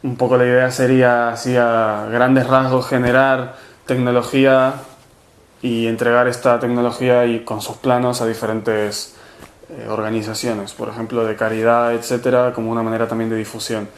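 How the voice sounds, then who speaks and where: Argentine man, interviewee; flat delivery, halting with fillers, echoey room. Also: mid-range voice. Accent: Argentine Spanish. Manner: hesitant, many fillers and restarts. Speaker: movement organizer. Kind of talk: interview answers.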